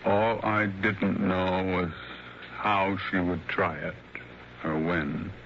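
An actor playing a sad, resigned old blind man in a 1960s radio drama, with slow, halting delivery. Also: sits low, flat delivery, gravelly, lo-fi sound, full character voice. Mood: sad resignation. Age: older. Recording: noisy, band-limited old radio recording.

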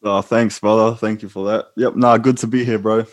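A man with a New Zealand accent talks as a podcast guest, loose and unhurried. His voice sits a little on the low side. He rambles and keeps calling the host bro. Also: level tone. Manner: laid-back, rambling, calls the host bro. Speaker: guest, former prisoner. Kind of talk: casual interview talk.

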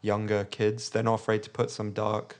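A man chatting casually, packing his talk with likes and fast asides. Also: fairly low pitch. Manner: rapid, flat delivery with many likes. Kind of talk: casual chat.

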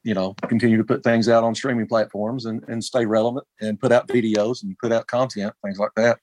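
A man in his forties from Kentucky, a musician guest talking casually with many pauses and restarts. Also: lowish voice, flat delivery, a little mellow, no room sound. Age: 40s. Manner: halting, many ums and restarts. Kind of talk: casual interview chat.

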